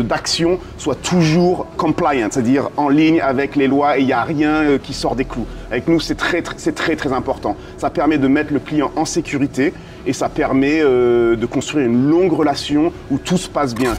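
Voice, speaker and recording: A man with a somewhat high-set voice talks as an interviewed consultant. He explains things conversationally and slips in English business terms. There is some ambient noise behind him. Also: a little mellow. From France and United States, dual background. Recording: clearly noisy ambient background.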